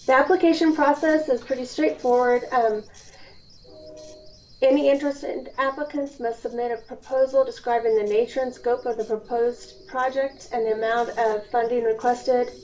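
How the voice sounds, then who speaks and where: A woman's voice: fairly high pitch, fairly even intonation, moderate pace, a little mellow. A woman giving an informal, explanatory instructional presentation over a conference phone call, stressing key points as a program presenter. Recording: dull, lo-fi phone call with background hiss.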